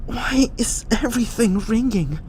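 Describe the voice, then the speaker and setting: A woman's voice: a little high, animated, measured pace, a little mellow, slight background noise. A woman playing an injured, anxious and disoriented young man in an audio drama. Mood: groggy, pained. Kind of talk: amateur audio drama acting.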